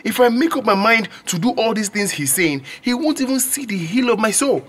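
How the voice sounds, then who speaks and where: A man's voice: high voice, lively intonation, a little fast, fairly bright tone. A man with a Nigerian accent playing an earnest, stubborn young student in a radio drama. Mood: indignant. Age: young adult.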